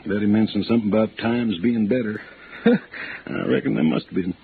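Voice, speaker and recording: A man's voice: fairly low pitch, moderate pace, narrow, tinny audio, natural voice. A man playing a folksy, garrulous insurance agent in his late fifties in an old radio drama. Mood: folksy, gossipy. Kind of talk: 1950s radio drama acting. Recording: band-limited old radio, faint noise.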